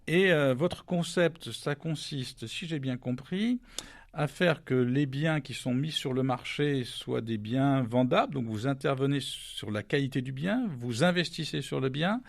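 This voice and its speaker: A man's voice: medium pitch, measured pace, creaky, no room sound, clean studio sound. A man hosting a conversational radio interview, probing and rephrasing what the guest says.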